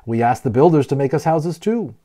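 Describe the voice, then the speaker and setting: A man reading a children's novel aloud as a storyteller, expressively and with deliberate emphasis on key words. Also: a little high, lively intonation, dark tone. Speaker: audiobook narrator and storyteller.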